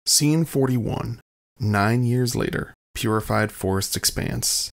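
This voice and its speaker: A man's voice: mid-range voice, slow, vocal fry. A man reading scripted scene-setting narration for an audio drama.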